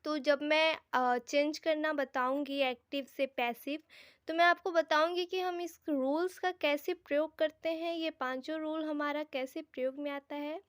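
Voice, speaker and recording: A woman's voice: high voice, steady tone, unhurried, no echo, studio quality. A woman with an Indian accent giving an informal grammar lesson as a teacher, explaining things directly to her students. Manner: explanatory, addresses viewers directly, mixes Hindi and English.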